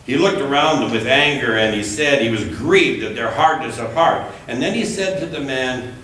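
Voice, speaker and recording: A man's voice: mid-range voice, expressive, a bit bright. Man reading scripture in an echoing room. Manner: measured, deliberate phrasing. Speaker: scripture reader at a church service. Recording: echoey room, distant mic, faint noise.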